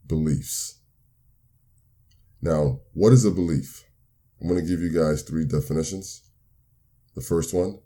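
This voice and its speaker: Deep-voiced man hosting a podcast. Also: mellow. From Nigeria. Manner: upbeat, earnest and measured, slows to repeat definitions. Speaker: podcast host. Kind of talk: solo motivational podcast talk.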